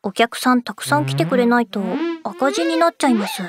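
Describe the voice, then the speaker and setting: Polite, high-voiced anime junior girl. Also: expressive, a little fast. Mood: matter-of-fact. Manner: polite, matter-of-fact junior speech. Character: polite, practical junior schoolgirl bandmate. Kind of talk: Japanese anime radio drama acting. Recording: loud music under the voice.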